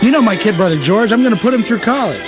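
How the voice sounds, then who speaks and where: A man plays a cocky young graduate who is everybody's pal. The voice is extremely high and bounces around in pitch. It is a comic radio-play performance. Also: animated, rapid-fire, over music. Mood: brash, jovial. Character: brash, chummy young college graduate Sam Wainwright. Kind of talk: parody radio play of an old film.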